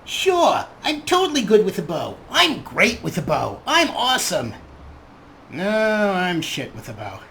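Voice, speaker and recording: Slow-paced man with swooping theatrical delivery. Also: high voice, wildly swooping pitch, gravelly, sharp tone, slight background noise. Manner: theatrical, comic role-play. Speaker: let's play host. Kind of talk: in-character game commentary.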